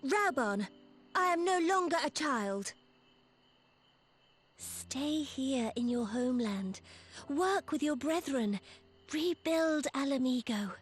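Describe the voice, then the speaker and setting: A woman's voice: high-pitched, animated, slow, pauses often. A woman playing a young, resolute sovereign in a fantasy game, speaking in a stately, formal and archaic way. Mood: solemn, commanding. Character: young female sovereign, regal, resolute and earnest. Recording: orchestral music behind the voice.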